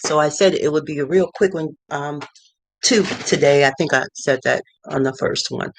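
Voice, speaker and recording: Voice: mid-range voice, normal speed, vocal fry, slight background noise. A middle-aged visual artist giving casual advice from a cluttered home studio, talking in a rambling way with many fillers and restarts. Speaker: visual artist and art consultant.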